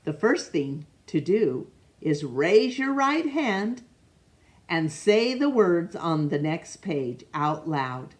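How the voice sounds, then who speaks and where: Slow low-voiced woman reading to kids. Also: big pitch swings. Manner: slow, gentle, asks the listener questions; stumbles over names and rereads them. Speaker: storytime reader. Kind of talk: reading a picture book aloud to children.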